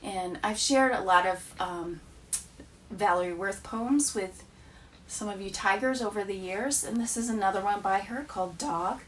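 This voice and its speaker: A woman teacher reading children's poems aloud with a deliberate, expressive storytelling delivery. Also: mid-range voice, recorded from a distance, slight background noise.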